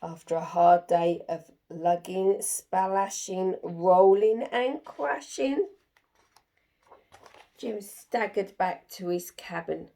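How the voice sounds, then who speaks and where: A woman's voice: on the low side, slow, creaky, dark tone. A woman storyteller reading a children's picture book aloud with expression.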